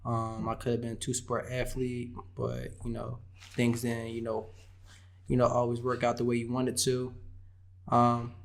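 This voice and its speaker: A man's voice: medium pitch, monotone, normal speed, vocal fry. A man, a podcast guest talking casually and saying "you know" constantly. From Philadelphia. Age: 30s. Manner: leans heavily on "you know". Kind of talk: casual interview chat.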